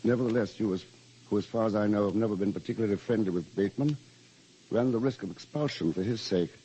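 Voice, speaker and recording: An actor playing an anxious, stern English boarding school housemaster, with a British accent and formal, measured wording, in old radio drama style. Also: male voice, fairly low pitch, dark tone, slight background noise, lightly acted, poor audio. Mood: stern, probing. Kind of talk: old-time radio drama acting and first-person narration.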